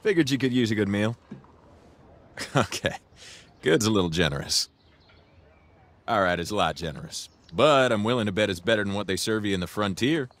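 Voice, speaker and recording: A man's voice: lowish voice, expressive, normal speed, pauses often, a bit bright. A man playing a cocky, easygoing young crime boss in a video game cutscene, talking casually with wry, profane ease. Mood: friendly, wry.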